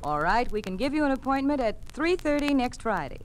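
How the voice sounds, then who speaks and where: Casting: polite doctor's receptionist, fairly high register, vintage radio drama with clear background noise. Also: female voice, very animated, fairly quick. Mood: businesslike. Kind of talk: 1950s radio acting. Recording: noisy old radio recording.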